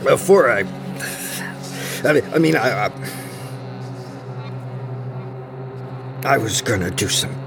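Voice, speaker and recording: An actor playing a disturbed, paranoid locked-up man in a horror audio drama, halting and repeating himself as he broods. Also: mid-range voice, lively intonation, creaky, music in the background. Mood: haunted, agitated.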